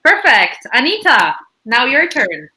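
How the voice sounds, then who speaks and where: Panel host, woman, bright and enthusiastic, video-call sound. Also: high-pitched, very animated, measured pace. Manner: upbeat, enthusiastic, warm welcome. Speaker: panel moderator. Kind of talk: online panel hosting.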